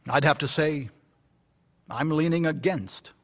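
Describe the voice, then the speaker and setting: A careful company lawyer, kinda husky, talks steady and persuasive. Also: male voice, on the high side, a little slow. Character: cautious male corporate lawyer, steady and persuasive. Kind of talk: radio drama acting.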